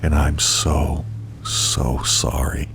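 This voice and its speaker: Very deep-voiced male horror narrator. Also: fairly even intonation, very slow, creaky, a bit bright, slight background noise. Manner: somber, suspenseful, dramatic delivery. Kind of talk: dramatized first-person scripted horror narration.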